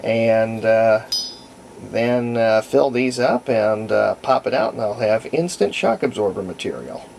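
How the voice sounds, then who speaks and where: A man's voice: lowish voice, expressive, unhurried, gravelly, a little mellow, slight background noise. A man giving a casual, unscripted how-to narration as a hobbyist restorer, folksy and rambling as he thinks aloud. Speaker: hobbyist restorer presenting a repair.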